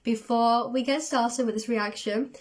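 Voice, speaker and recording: Woman doing a chatty reaction vid, kinda excitable, voice going a bit cos shes got a cold. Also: a little high, a little slow. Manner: excitable, self-described overdramatic. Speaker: host of a music reaction channel. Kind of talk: casual chatty video reaction.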